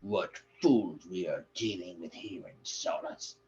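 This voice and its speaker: A man voicing a fat, sneering male hobgoblin commander, speaking slowly and pompously with a thick accent. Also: deep voice, warm tone, slight background noise, pitched below the performer's own voice. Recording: dull lo-fi recording.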